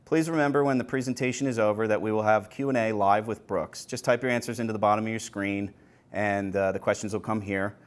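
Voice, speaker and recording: A man hosting an online event, giving a formal spoken introduction. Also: on the low side. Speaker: event host introducing a presenter.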